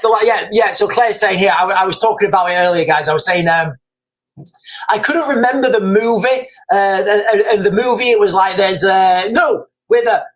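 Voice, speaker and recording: A man's voice: sits high, fairly bright tone, poor audio. A middle-aged man hosting a live stream, talking casually and rambling to his viewers, repeating phrases and calling them guys. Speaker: livestream host.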